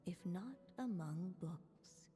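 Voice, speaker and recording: A woman playing a composed, mysterious storybook narrator in a video game, measured, wry and theatrical, in long reverb. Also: lowish voice, lively intonation. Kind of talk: scripted fairy-tale narration in a video game. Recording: long reverb, faint music behind.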